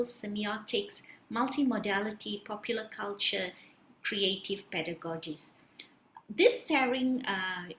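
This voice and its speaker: A Malaysian woman lecturer gives a prepared presentation. Her voice is bright and forward at a middle pitch. The recording is roomy and echoey, with faint noise underneath. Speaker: senior lecturer in English, conference presenter. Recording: roomy echo, faint background hiss.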